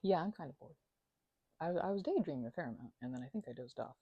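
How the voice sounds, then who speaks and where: Young woman housemate played by an actress, ASMR roleplay: chatty, bashful, halting rambler, very animated pitch, mellow tone, dry recording. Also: slightly rough, no room sound, lo-fi sound, full character voice. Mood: drowsy, rambling. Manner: rambling, halting, stammering, giggly asides. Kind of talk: ASMR roleplay, casual one-sided conversation.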